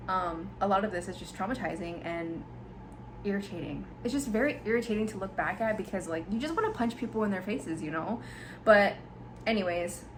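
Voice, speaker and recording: A young woman from Hawaii hosts her podcast in a candid, rambling way that is full of fillers. Her voice sits at a middle pitch. A faint hum of noise runs under it. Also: fairly bright tone, poor audio. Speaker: podcast host. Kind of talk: casual personal storytelling.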